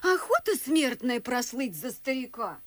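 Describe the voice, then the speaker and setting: An actress playing a coquettish young wife in a radio verse comedy, cooing and teasing in a theatrical, gushing way. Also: female voice, high-pitched, very animated, unhurried, fairly bright tone, no echo, full character voice.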